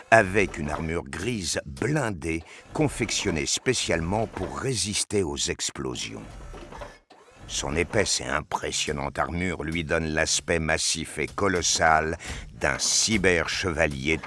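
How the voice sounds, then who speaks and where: A man with an exceptionally deep voice narrates a science fiction audio drama. He takes his time, setting each scene in measured, descriptive phrases at a slow pace. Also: animated, sharp tone, slight background noise.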